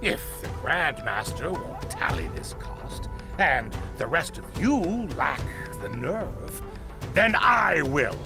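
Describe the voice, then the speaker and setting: A bitter, hard-nosed game character, with a fairly high male voice and gravelly creak. He is scornful and theatrical, swinging widely in pitch as he challenges his leader, with music clearly behind him. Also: sing-song, takes it slowly, exaggerated voice. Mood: cold, bitter. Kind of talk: dramatic video game voice acting.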